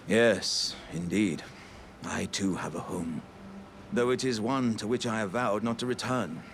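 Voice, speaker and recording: A man's voice: fairly low pitch, slight background noise, exaggerated voice. A man voicing a big, earnest, jovial living warrior jar in a video game, with theatrical, old-fashioned courteous speech. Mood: cheerful, grateful. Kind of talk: video game character acting.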